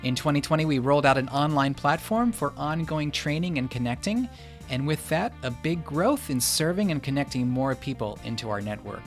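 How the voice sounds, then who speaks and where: A man's voice: on the high side, animated, normal speed, faint music behind. A man hosting a podcast, moving between prepared introduction and casual conversation with guests. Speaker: podcast host.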